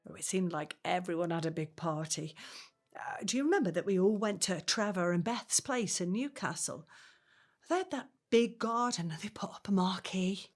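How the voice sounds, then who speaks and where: A woman's voice: lowish voice, normal speed, close to an everyday voice. An actress playing a plain-spoken working-class mother in her seventies, grumbling but fond, in a naturalistic audio drama. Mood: fond, nostalgic. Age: 70s.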